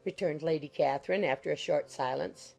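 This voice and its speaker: A woman's voice: deep voice, a little fast, gravelly, a little mellow, breathy edge, soft background music. A woman reading scripted literary narration as an audiobook narrator.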